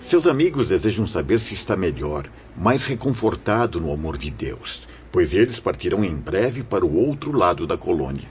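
A man's voice: sits low, very animated, normal speed, few pauses, faint music behind. An actor playing a wise, consoling, fatherly spirit mentor who preaches solemnly in a religious radio drama. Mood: gentle, welcoming. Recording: dull lo-fi audio with faint noise.

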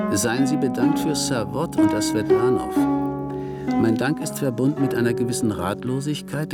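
A man's voice: medium pitch, gravelly. An actor playing a formal, scholarly Dutch letter writer, reading his courteous and pedantic letter aloud in a radio play. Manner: courteous, pedantic, ornate phrasing. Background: loud music with guitar.

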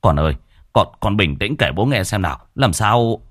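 A worried middle-aged village father in a ghost story, lamenting in a low, shaky voice, as a male narrator puts him on. His voice sits deep, and the recording is dry and close. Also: lively intonation, normal speed, fairly bright tone, no room sound. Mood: anxious.

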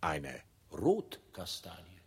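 A man playing a fussy, bewildered old coachman in an absurdist radio play, with a husky, breathy voice. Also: deep voice, huge pitch swings, takes it slowly, darkish tone, heavily acted. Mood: musing, content. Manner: musing, fussy, incredulous.

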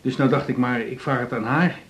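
A man's voice: mid-range voice, fairly even intonation, a little fast, natural voice. An actor playing a naive, pestering working-class male hospital patient who stammers and pleads in a radio drama. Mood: hesitant, eager. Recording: roomy, echoing radio drama studio.

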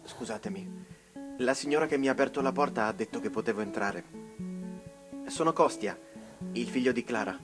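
A man's voice: medium pitch, brisk, pauses often, music in the background, close to an everyday voice. An actor playing an earnest, respectful young male student, shyly adoring, in a radio drama. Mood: polite, hesitant. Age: young adult. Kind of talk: theatrical radio drama dialogue.

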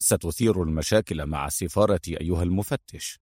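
A man voicing a serious, sharp-witted secret agent in an audiobook, measured and terse. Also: low voice, no room sound, clean studio sound, pitched below the performer's own voice. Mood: annoyed. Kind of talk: dramatized audiobook hero.

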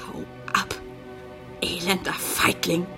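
An actress playing a bitter, pleading village hotel owner in a German radio drama, intense and reproachful. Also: female voice, on the low side, flat delivery, slow, music in the background. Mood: pleading, angry.

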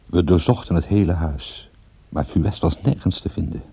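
Hard-boiled detective, radio drama, very husky, dark tone, sardonic, commanding. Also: male voice, deep voice, moderate pace, heavily acted. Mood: dry narrating. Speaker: detective and narrator in a radio play. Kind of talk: radio drama acting with first-person narration.

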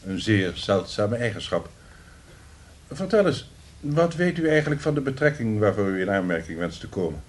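A man's voice: on the low side, very animated, moderate pace. An actor in a radio play as a blunt, sardonic male director, dry and commanding in a job interview. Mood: sardonic probing. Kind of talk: radio drama acting.

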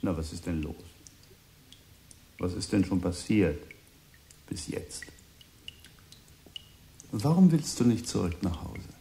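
A man's voice: deep voice, sing-song, slow pace, creaky, long pauses, dark tone, a little hiss or hum, heavily acted. An actor playing a controlled, shrewd, probing man in a German crime radio play. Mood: quiet, probing. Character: calm, controlled, shrewd middle-aged man of crime drama.

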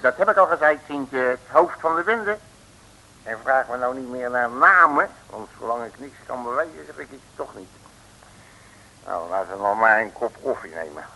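An actor playing a cocky, slangy streetwise detective in an early 1960s comic radio serial, blustering and emphatic. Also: male voice, mid-range voice, lively intonation, moderate pace, pushed and bright, full character voice, rough recording. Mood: cocky, grumbling. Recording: old radio broadcast recording.

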